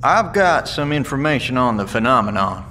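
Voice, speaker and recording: An actor playing a wary, plain-spoken apocalypse survivor in an audio drama, with a folksy, laconic way of talking. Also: male voice, mid-range voice, sing-song, normal speed, pushed and bright, a little hiss or hum. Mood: earnest, hesitant. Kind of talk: naturalistic audio drama dialogue.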